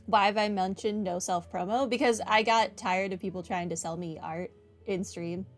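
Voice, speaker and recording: A woman's voice: mid-range voice, fairly even intonation, normal speed, soft background music. A woman game streamer giving casual, wry live commentary on her playthrough. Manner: chatty, wry.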